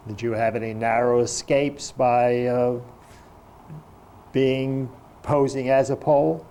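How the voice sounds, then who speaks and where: A man interviewing, asking calm prompting questions. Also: mid-range voice, takes it slowly, slight background noise.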